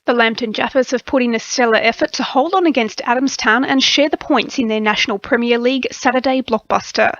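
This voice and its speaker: Higher-voiced Australian woman reading radio sport. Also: a little fast, few pauses, a bit bright, lo-fi sound. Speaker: sports newsreader. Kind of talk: scripted sports bulletin reading. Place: dry radio studio.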